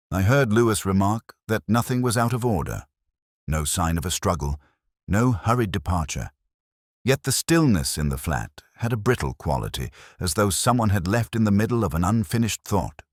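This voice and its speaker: A man with a very low, bass voice reads a detective story in the first person. His delivery is measured and reflective, with lively rises and falls across each sentence. The sound is close and dry, suited to literary mystery narration. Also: no room sound.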